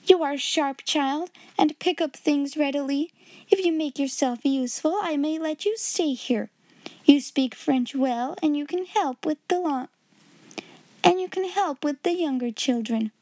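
A woman voicing a cold, domineering schoolmistress with a sharp, scolding manner, in a storybook reading. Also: high-pitched, unhurried, no echo, pitched above the performer's own voice. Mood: stern, domineering.

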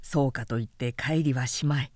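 A woman voicing a proud, brooding young samurai elder brother, speaking gravely and resolutely in old-fashioned style, in a story reading. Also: extremely low pitch, moderate pace, a little mellow, no echo, clean studio sound, pitched below the performer's own voice.

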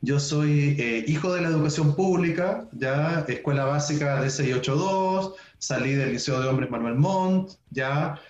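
A man from southern Chile with a Chilean Spanish accent, a researcher talking in an interview, relaxed and talkative. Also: on the high side. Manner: relaxed, talkative, fills pauses with "ya". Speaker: guest researcher. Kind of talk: interview conversation. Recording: roomy, dull sound.

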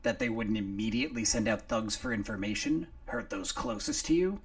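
A man voicing a small, scrappy male sidekick who wheedles and pleads in a high, squeaky voice. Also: expressive, a little fast. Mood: persuasive.

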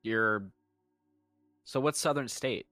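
A man's voice: on the low side, takes it slowly, pushed and bright, no room sound. A man co-hosting a podcast, joking crudely, swearing freely and laughing often. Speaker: podcast co-host. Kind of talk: casual podcast chat.

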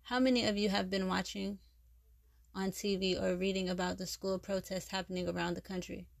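Storybook: tired male teacher lecturing a class, read by a creaky-voiced woman. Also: medium pitch, flat delivery, fairly quick, dry recording, clean studio sound, close to an everyday voice. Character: weary male history teacher.

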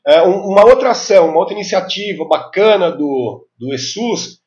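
High-voiced Brazilian man in his fifties. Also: expressive, moderate pace, darkish tone. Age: 50s. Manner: didactic, with frequent tag questions seeking agreement. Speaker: interview guest, health informatics specialist. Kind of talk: explanatory interview talk. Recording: dull, lo-fi audio.

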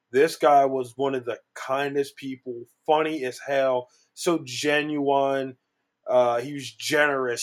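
Excitable, cussing podcast guy. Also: medium pitch. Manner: profane, excitable. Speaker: podcast co-host. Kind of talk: casual podcast chat.

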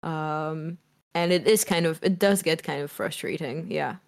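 A young woman talks loudly and freely, her strong voice sitting low for a woman. She rambles a little with fillers as she tells stories about performing. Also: a little slow, creaky. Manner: loud, strong voice. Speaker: musician guest. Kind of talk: casual interview chat.

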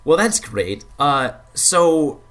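A man's voice: a little high, very animated, very slow, fairly bright tone. A man co-hosting a casual gaming podcast, rambling and stammering with repeated words, and swearing when annoyed. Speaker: podcast co-host.

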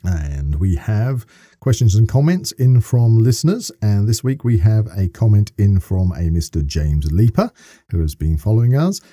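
A man's voice: on the low side, expressive, normal speed, warm tone, no echo, studio quality. A man co-hosting a podcast in a casual, chatty interview style, wordy and thinking aloud as he goes.

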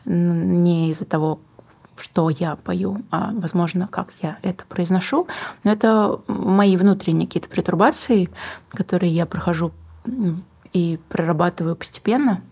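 Low, husky-voiced young woman, reflective. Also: normal speed. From Kazakhstan. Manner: thoughtful, searching for words, soft asides. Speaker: guest, singer and book publisher. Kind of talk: reflective interview answers.

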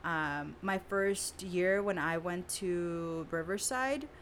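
A woman's voice: fairly low pitch, slow, fairly bright tone, slight background noise. A woman in her twenties from San Diego, a native Spanish speaker, talking frankly and casually as a guest. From San Diego, California. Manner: candid, frank, swears casually. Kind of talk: casual conversation.

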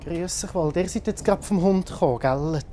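Over clear train noise, a conductor speaks in a medium-pitched voice with a Swiss German accent. The talk is casual and practical, like one colleague explaining the job to another. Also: a little fast, dark tone. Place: aboard a moving passenger train. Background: train rumble and vehicle noise.

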